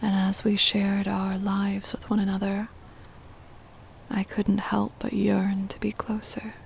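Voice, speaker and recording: A woman playing a centuries-old vampire mistress, tender and possessive, in a hushed, breathy ASMR whisper with deliberate, formal wording. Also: medium pitch, monotone, a little hiss or hum. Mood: wistful confiding. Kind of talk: ASMR roleplay, intimate scripted monologue.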